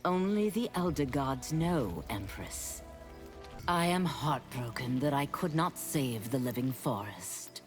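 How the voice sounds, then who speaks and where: A woman's voice: very deep voice, expressive, slow pace, faint music behind, exaggerated voice. An actress playing a solemn demon woman seeking redemption in a video game, grave and formal. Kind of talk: video game character dialogue.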